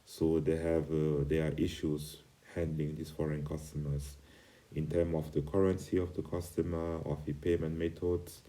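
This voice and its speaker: A man from Cameroon with an extremely deep voice teaches an informal online lesson. He speaks as a non-native speaker, in halting, hesitant phrases. His intonation stays fairly flat and his tone is very dark and mellow. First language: not English. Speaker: company CEO, online course instructor.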